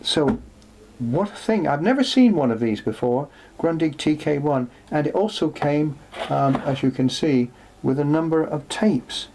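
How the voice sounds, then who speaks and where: A man presenting an unscripted show-and-tell in a workshop, speaking haltingly as he thinks aloud. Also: fairly high pitch, expressive. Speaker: presenter showing vintage electronics for restoration. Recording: clearly noisy, with background hum.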